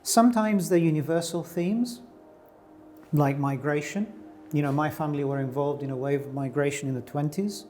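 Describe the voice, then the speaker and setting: A man's voice: a little high, normal speed, mellow, soft background music. A middle-aged man from Greece, an artist giving thoughtful, searching answers in an interview, with frequent fillers and restarts. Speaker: artist guest.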